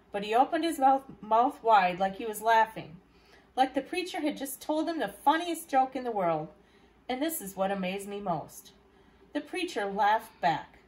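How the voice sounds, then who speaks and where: A woman's voice: fairly high pitch, poor audio. A woman reading aloud as a library storyteller, narrating a children's novel. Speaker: library staff reader.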